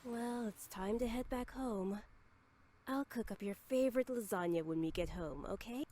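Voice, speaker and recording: Brief: woman voicing a soft caring mother, mellow and a bit high. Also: moderate pace, natural voice. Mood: tender.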